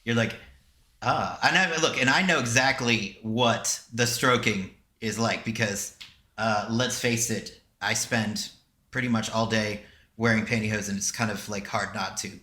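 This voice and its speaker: A man's voice: on the low side, a little slow, bright, forceful tone, reverberant. A man hosting a casual podcast, rambling along and often stopping to repeat himself. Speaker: podcast host.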